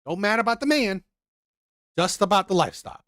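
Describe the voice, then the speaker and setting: A man's voice: high-pitched, expressive, fast, a bit bright, no echo, studio quality. A man commenting on a video clip in a mocking tone, repeating his points and mimicking voices. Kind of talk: reaction commentary.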